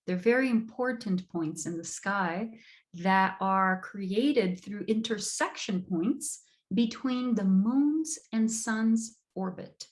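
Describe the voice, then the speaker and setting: A woman from Brazil giving an online astrology lecture, measured and emphatic, repeating words for stress. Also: mid-range voice, darkish tone.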